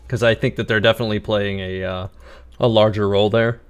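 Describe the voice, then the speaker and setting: A man's voice: on the low side, fairly even intonation, a little fast, clean tone. A man casually commentating on a live game stream, musing and thinking aloud.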